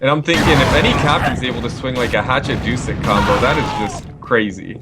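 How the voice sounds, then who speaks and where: A man giving relaxed, joking analysis as color commentator for an online match. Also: medium pitch, fairly quick, heavy background noise. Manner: relaxed chatty analysis with jokes.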